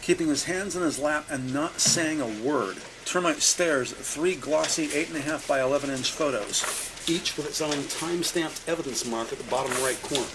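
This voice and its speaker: A man reading scripted, deliberate and detailed narration for an audio-described police drama. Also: a little high, noisy background.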